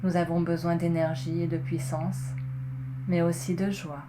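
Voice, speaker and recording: A woman guiding a relaxation session in a soothing, measured way, pausing between short phrases as she narrates. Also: lowish voice, flat delivery. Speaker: yoga nidra guide. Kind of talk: guided relaxation, scripted narration. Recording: clearly noisy, ambient tones behind. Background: meditation music with singing bowl tones.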